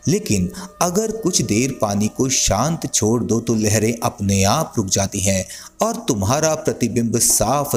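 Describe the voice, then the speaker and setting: A man leads a guided sleep meditation as a scripted narration. His pace is very unhurried, yet his pitch moves in a lively way. He gives soothing, gentle instructions over a music bed.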